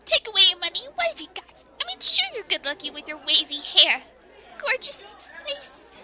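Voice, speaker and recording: A very high, squeaky girlish voice as a villain's doting, ditzy sweetheart. It is lively cartoonish audio drama acting with music playing behind. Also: moderate pace, full character voice. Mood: indignant, then swooning.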